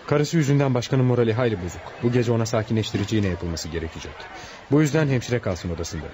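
An actor playing a calm, formal male hospital doctor giving firm advice in a radio play. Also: medium pitch, quick pace, a little hiss or hum, natural voice. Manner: formal, advising firmly. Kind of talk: Turkish radio play acting.

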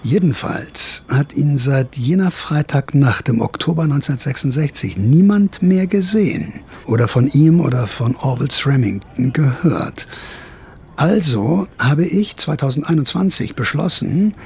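A man's voice: on the high side, vocal fry, darkish tone, slightly rough, heavily acted. An actor playing a sly older counterculture veteran who narrates his memories in a radio drama, confiding, wry and theatrical. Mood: wry, reminiscing.

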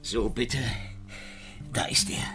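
An actor playing an anxious, deferential police inspector in a German radio play, eager and easily flustered. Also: male voice, slow pace, sharp tone, faint music behind.

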